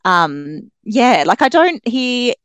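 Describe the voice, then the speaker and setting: A woman's voice: a little high, very animated, slow, smooth, no echo, studio quality. An Australian woman talking casually and enthusiastically about books as a podcast guest. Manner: enthusiastic, chatty. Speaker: guest author on a book podcast. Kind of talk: casual book discussion.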